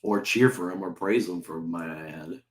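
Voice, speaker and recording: A man's voice: low-pitched, measured pace, reverberant. A man with a sore throat hosting his own sports channel, ranting heatedly as a fan and swearing often, firing off repeated rhetorical questions. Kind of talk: ranting fan commentary to camera.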